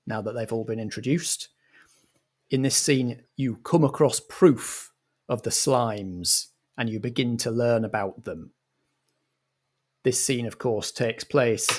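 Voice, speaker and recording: Man directing, halting and deliberate. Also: mid-range voice. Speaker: game master and director. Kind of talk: improvised scene-setting for a comedy show.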